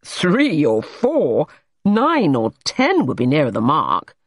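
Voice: medium pitch, wildly swooping pitch, measured pace, no echo, clean studio sound, full character voice. A reader voicing an irritable, domineering male painter in an audiobook story, blunt and scolding. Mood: exasperated.

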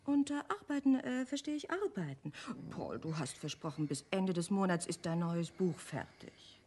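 An actress playing a witty, affectionate, teasing wife of a detective in a German radio play, with expressive delivery. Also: female voice, on the low side, moderate pace, slight background noise, natural voice.

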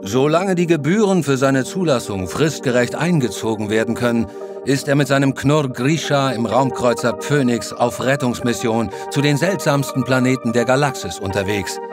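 A man acting as the narrator of a tongue-in-cheek space audio drama. He delivers scripted teaser lines with mock drama, over clearly audible music. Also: mid-range voice, moderate pace, natural voice. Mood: mock-dramatic.

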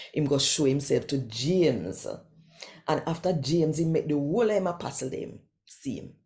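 An older woman with a Jamaican accent reads scripture expressively. Her voice is low and creaky, and she takes her time, landing each phrase with deliberate emphasis. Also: warm tone. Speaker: host and scripture reader. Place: small quiet room indoors.

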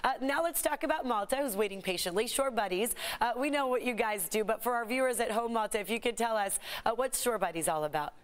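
A woman's voice: fairly high pitch, fast, hardly any pauses, smooth. A woman in her thirties anchoring a TV news segment, bubbly and laughing often as she interviews guests. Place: TV studio.

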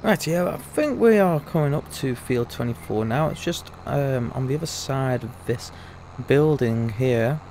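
A man's voice: medium pitch, expressive, measured pace, a little mellow, slight background noise. A young man in casual let's-play commentary, chatty and rambling, his voice still a bit rusty after losing it. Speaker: gaming YouTuber.

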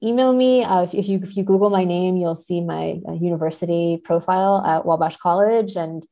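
Mellow, low-voiced woman in conversation. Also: a little fast. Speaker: podcast guest, academic. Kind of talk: casual interview chat.

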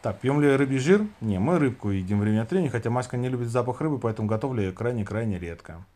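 A man's voice: medium pitch, expressive, fast, warm tone. A man in his thirties hosting a casual livestream, reading out viewers' questions and answering them into a phone held at arm's length. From Saint Petersburg, Russia. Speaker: livestream host. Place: small room indoors, lying back on pillows.

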